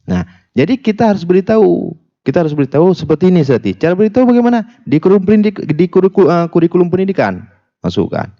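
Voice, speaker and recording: A man from Aceh speaking as a guest on a radio discussion, animated, with many fillers and restarts and emphatic rises. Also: high voice, fast talker. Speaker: talk show guest. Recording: dull lo-fi radio broadcast sound.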